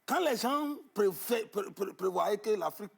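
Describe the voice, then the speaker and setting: A man being interviewed in a television studio, explaining at length with emphasis, often pausing on fillers and repeating words. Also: high voice, animated, vocal fry, breathy edge. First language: Arabic-educated, French not first. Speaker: guest on traditional knowledge.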